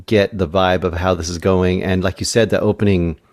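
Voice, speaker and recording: A man reviews a film in a casual panel chat. His voice is deep and low, captured very dry. He qualifies his points as he thinks them through. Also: fairly even intonation, brisk, no echo.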